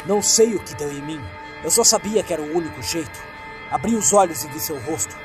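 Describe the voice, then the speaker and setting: Male horror narrator, Brazilian accent, higher-pitched voice, suspenseful dramatic delivery, music underneath. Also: expressive, fairly quick. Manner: suspenseful, acts out the lines.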